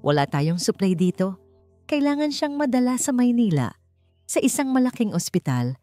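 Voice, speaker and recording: A grave old country doctor read by a woman narrator delivers urgent news. The manner is serious and worried, in storybook drama style over soft music. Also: fairly high pitch, very animated, normal speed, pitched above the performer's own voice.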